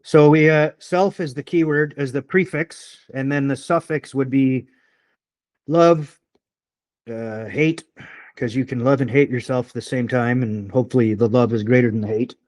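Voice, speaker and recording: A man chatting casually on a podcast, rambling from one thought to the next and swearing often, with a slight rural twang. His voice sits in an ordinary middle pitch, recorded close and dry in a small quiet room. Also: steady tone, moderate pace, dry recording. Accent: slight rural Canadian twang. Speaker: podcast host. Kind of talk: casual conversation.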